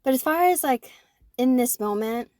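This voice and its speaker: A woman in her forties, co-hosting a podcast, talking through her own thoughts in an animated way and often checking in with "right?". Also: high-pitched, moderate pace, no room sound, studio quality. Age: 40s. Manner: animated, self-reflective, fond of tag questions like "right?". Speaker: podcast co-host. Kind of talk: casual conversation.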